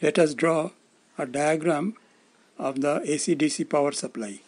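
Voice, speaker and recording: A man in his sixties giving scripted instructional narration as an electronics instructor, in a measured, formal, explanatory manner. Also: fairly high pitch, a little mellow. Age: 60s.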